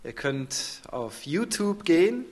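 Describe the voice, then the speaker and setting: A man's voice: a little high, very animated, slow pace, a little hiss or hum. A man interpreting a sermon live, turning each short phrase into a fuller sentence right after the speaker.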